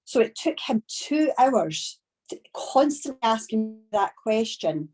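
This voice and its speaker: Husky-voiced Scottish woman. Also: on the high side, sing-song, darkish tone, faint music behind. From Scotland. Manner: deliberately slow, storytelling. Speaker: business trainer. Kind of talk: training talk on a video call. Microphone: video call.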